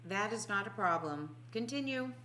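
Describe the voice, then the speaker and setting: A woman voicing a commanding, scheming male high priest with an imperious manner in an audiobook. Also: animated, moderate pace, bright, forceful tone, a little hiss or hum.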